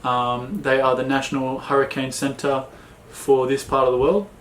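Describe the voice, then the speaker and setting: An Australian man co-hosting a sailing chat, talking in a relaxed, plain way with many fillers. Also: medium pitch, normal speed, echoey room, a little hiss or hum. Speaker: sailing vlog co-host. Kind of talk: casual Q&A chat.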